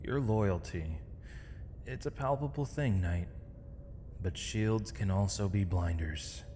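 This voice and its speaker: A man playing a captured mage in an audio roleplay, defiant and weary, speaking in measured, sardonic, formal phrases. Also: lowish voice, mellow. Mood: bitter, challenging. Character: captured male mage, defiant, weary, eloquent, accused villain. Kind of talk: scripted ASMR audio roleplay. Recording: long reverb, clear background noise.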